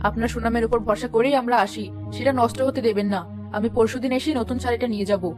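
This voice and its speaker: A woman's voice: fairly high pitch, fairly even intonation, fast, music in the background, natural voice. A woman voicing an exacting middle-aged village customer in a moral cartoon, speaking frankly, firmly and politely. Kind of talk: moral-story cartoon dialogue.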